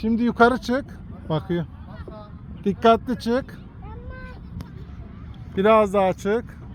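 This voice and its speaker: A man's voice: high voice, slow pace, a faint crowd, a little hiss or hum. Father, casual phone-filmed vlog at an outdoor park; patient, coaxing tone aimed at a toddler. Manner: gently coaxing, points things out to a small child. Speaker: father filming his son. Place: outdoor park with cartoon statues.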